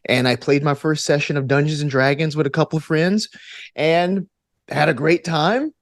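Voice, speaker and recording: A man's voice: on the high side, moderate pace, dry recording. Playful man, close dry sound. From Alabama, United States. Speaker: podcast guest, actor and gamer. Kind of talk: casual interview chat.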